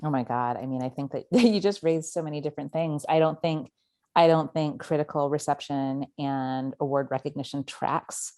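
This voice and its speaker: A woman novelist talking as a podcast guest in a thoughtful, measured way, qualifying her points. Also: low-pitched, warm tone, no echo, studio quality. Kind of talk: casual podcast conversation.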